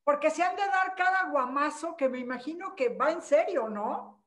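A middle-aged woman hosts the interview over a video call, friendly and teasing as she asks questions. Her voice is clearly high, and she fills pauses with quick short replies. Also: expressive. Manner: friendly, teasing, frequent backchannel. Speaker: interviewer, host. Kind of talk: casual interview. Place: home room with bookshelves.

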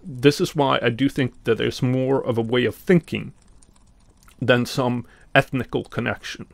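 Slow, gravelly-voiced Norwegian man. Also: medium pitch, fairly even intonation, no room sound. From Norway. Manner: slow and deliberate, with pauses and some fillers. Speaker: podcast host. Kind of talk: reflective commentary on mythology.

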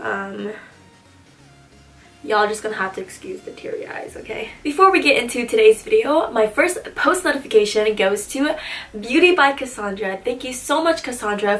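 A woman's voice: fairly high pitch, fairly bright tone. Young woman vlogger, a bit nasal from a cold, casual slangy chatter, talking close to the camera in a small room. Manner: chatty, slangy and self-teasing. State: stuffy nose from a cold. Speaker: beauty vlogger. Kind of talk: casual makeup tutorial chat.

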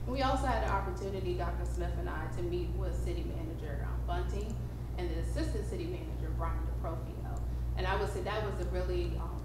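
Woman, school administrator, explanatory meeting presentation, heavy room echo. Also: on the low side. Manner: steady, explanatory, with occasional fillers. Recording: very echoey room with loud noise.

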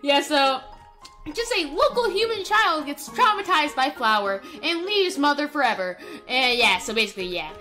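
A woman's voice: sits high, expressive, normal speed, bright, forceful tone, soft background music. A woman doing casual, playful roleplay commentary over a video game, rambling and stammering as she goes. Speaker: gaming YouTuber.

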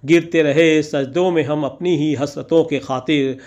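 A man's voice: fairly high pitch, fairly even intonation, measured pace, darkish tone, dull audio. A man narrating a vlog as a scripted voice-over, with ornate, poetic and theatrical phrasing. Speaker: vlogger narrating.

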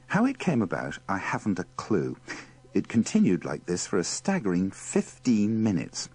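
A man's voice: on the low side, a little mellow, husky. A man presenting a compilation with scripted, wry narration.

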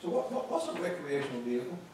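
A man's voice: a little high, sing-song, fast. A male planning commissioner with a Southern US accent, asking blunt, folksy questions at a public meeting. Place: large council meeting room. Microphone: room recording from far camera. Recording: echoey room, distant mic, background noise.

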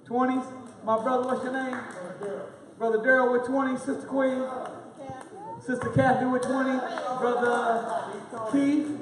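High-voiced young man rallying a hall. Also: level tone, unhurried, long pauses, a crowd in the background. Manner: rhythmic, calls out names and amounts to the audience. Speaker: minister leading a fundraising appeal. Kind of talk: fundraising call from the pulpit. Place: large hall with an audience. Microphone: handheld microphone recorded from a far camera. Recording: echoey hall audio from far off.

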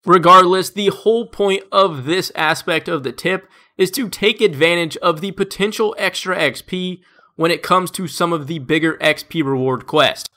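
A man with a stuffed-up, congested voice, narrating a scripted game tips voice-over as a gaming video creator, in an upbeat, steady tip-by-tip delivery. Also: high voice.

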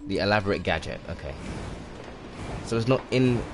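A man in his twenties with a relaxed, soft-spoken voice, casually commenting while playing a game and rambling with many fillers. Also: fairly low pitch, moderate pace, background noise. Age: 20s. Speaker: game streamer. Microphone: condenser microphone. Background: game music.

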